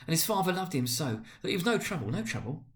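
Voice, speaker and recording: A man voicing a gentle, careworn, loving mother in a dramatic storybook reading, with a halting manner. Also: fairly high pitch, sing-song, voice pushed up. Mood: brave, wistful.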